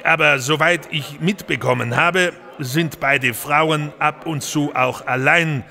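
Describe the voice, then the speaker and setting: An actor playing a busy, impatient Italian innkeeper in an audio drama, speaking curtly. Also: male voice, a little high, fairly even intonation, slow pace, creaky, sharp tone, full character voice. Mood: irritated. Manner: curt, impatient, peppered with Italian words. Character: brusque, busy Italian innkeeper running a trattoria.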